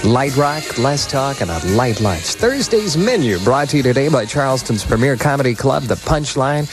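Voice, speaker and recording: A man with a medium-pitched voice hosts midday radio in a smooth patter. Music plays clearly behind him, as on a 1980s station aircheck. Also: sing-song, moderate pace. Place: radio studio.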